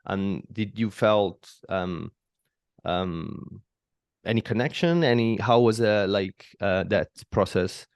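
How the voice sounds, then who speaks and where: A man with a noticeable Italian accent hosts a casual podcast chat in a low voice. He stumbles through his English with lots of restarts and "um"s, and the sound is close and dry. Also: gravelly, no echo. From Italy. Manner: halting, many restarts and fillers. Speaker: podcast host. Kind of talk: casual interview conversation.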